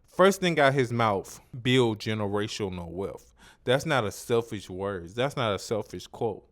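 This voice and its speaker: A man's voice: lowish voice, expressive, a little slow. A man hosting a casual interview, talking emphatically and repetitively with lots of slang and swearing. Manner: emphatic, repetitive, profane street talk. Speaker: interview host. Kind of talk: casual chat.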